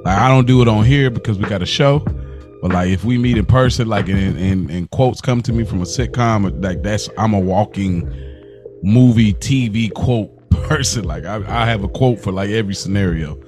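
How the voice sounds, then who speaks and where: A man's voice: on the low side, normal speed, music in the background. A man co-hosting a podcast, talking casually and slangily, often peppering sentences with "like". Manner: informal, slangy, repeats "like" often. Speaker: podcast co-host. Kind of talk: casual chat about films and music.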